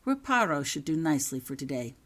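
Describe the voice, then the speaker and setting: A woman reading the part of a brisk, matter-of-fact female magic teacher giving instructions in a storybook. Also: low-pitched, sing-song. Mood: brisk, instructive. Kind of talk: storybook character dialogue.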